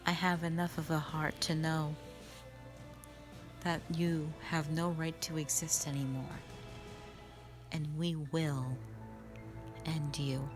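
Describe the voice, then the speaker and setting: A woman's voice: low-pitched, flat delivery, slow, long pauses, music in the background, pitched below the performer's own voice. A woman playing a stern, resolute witch in an audio roleplay, speaking with grave, cold condemnation. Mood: cold resolve.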